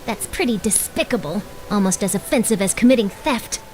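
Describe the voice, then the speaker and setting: A woman's voice: mid-range voice, animated, brisk, a little mellow, natural voice, rough recording. A woman voicing an eager, nosy young female newspaper reporter in a video game, bubbly and theatrical in her delivery. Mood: prying. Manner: bubbly, dramatic, gushing. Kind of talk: animated video game voice acting. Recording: game music behind the voice.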